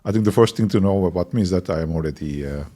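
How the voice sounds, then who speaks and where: Man around fifty, Turkish accent, very low voice, husky, halting reflective interview answers. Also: dark tone. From Turkey. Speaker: interview guest, technology entrepreneur.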